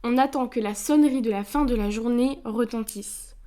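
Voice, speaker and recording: Woman podcast host, fairly high voice, soft-spoken, polite. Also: moderate pace. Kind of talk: scripted intro, then casual interview.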